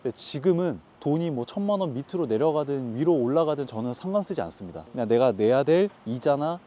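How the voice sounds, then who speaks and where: Young man posing rhetorical questions, lively. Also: medium pitch, fairly quick. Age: 20s. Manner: earnest, explains with rhetorical questions. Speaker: interviewee, lodging business owner. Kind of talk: casual interview.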